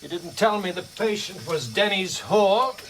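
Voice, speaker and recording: An actor playing an arrogant, scornful senior surgeon in a radio drama, with a clipped, condescending manner. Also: male voice, high-pitched, expressive, unhurried, slight background noise, rough recording. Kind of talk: BBC radio drama acting.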